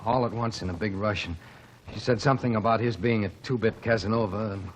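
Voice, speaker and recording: A man voicing a plain-spoken working-class stagehand giving testimony in a vintage radio drama. He speaks in a somewhat low voice, folksy and unpolished. A faint hiss sits under the recording. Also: fairly even intonation, fast, close to an everyday voice, low-quality recording. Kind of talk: 1940s radio drama acting.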